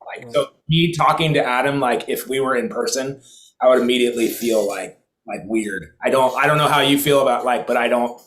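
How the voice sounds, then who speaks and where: A man hosts a loose group conversation over a video call. He rambles, trails off into fillers and laughs easily, at an ordinary middle pitch. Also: a little fast, fairly bright tone, low-quality recording. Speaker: host and drummer. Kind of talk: casual group chat.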